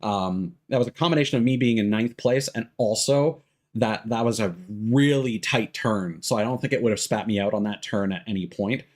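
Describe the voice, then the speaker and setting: A man's voice: fairly low pitch, moderate pace. A man giving casual, rambling, sweary commentary as he streams a video game. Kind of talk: casual gaming livestream commentary. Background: game music.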